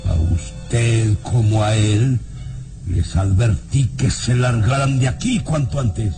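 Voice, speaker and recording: Haughty, menacing baron, slow and theatrical. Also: male voice, medium pitch, music in the background. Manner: imperious, evasive, threatening. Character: haughty, menacing male baron, owner of a castle. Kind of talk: old radio drama acting.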